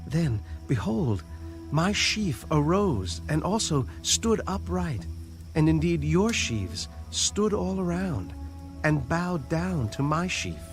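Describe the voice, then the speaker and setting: A man's voice: on the high side, sing-song, slow pace, heavily acted. An actor playing an earnest teenage shepherd who declaims his dreams in an audio scripture drama. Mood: earnest, wondering. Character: earnest seventeen-year-old dreamer, young Hebrew shepherd. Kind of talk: audio Bible drama acting. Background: music bed.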